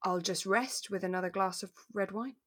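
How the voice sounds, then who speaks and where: A woman's voice: mid-range voice, normal speed, a bit bright, no echo, studio quality. A woman co-hosting a podcast in casual, playful chat, recapping a drama. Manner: chatty, playful. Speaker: podcast co-host.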